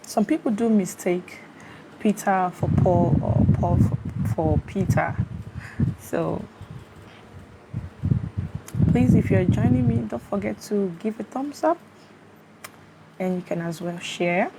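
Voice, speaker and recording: A woman's voice: mid-range voice, takes it slowly, long pauses. A Nigerian woman hosting a live stream, chatting casually on a phone, greeting her viewers and checking they can hear her. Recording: steady fan noise behind.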